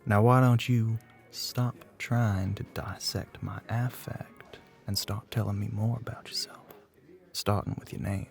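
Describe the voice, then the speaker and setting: A man playing a flirty, middle-aged bartender with a Southern US accent and a low, gravelly voice, teasing in a folksy way in an ASMR roleplay. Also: steady tone, a little slow, faint music behind. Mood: flirty, smooth. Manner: drawling folksy terms of endearment, teasing.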